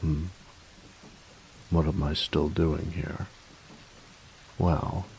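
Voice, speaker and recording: A man playing a grumpy, workaholic artificer in an audio roleplay, tired and reserved, speaking formally and precisely with hesitant pauses in a gravelly voice. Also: darkish tone, a little hiss or hum, full character voice, low-quality recording. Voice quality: gravelly, very low voice. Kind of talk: audio roleplay addressed to the listener.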